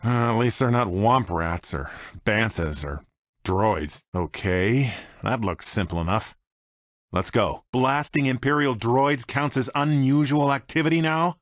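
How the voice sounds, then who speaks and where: An actor playing a cocky, grumbling smuggler pilot in a video game, with an American accent and short sardonic quips. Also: male voice, medium pitch, unhurried, no room sound, clean studio sound, natural voice. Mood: wry, grumbling. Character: cocky, wry male smuggler pilot, grumbling reluctant hero. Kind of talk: video game character voice lines.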